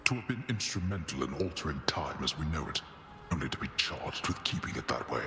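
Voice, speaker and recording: Booming, very deep underworld god. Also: male voice, sing-song, heavily acted. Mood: grave. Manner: slow, formal, grave delivery. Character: solemn, brooding god of the underworld, stern father. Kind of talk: video game dramatic acting. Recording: echoey hall reverb with music behind.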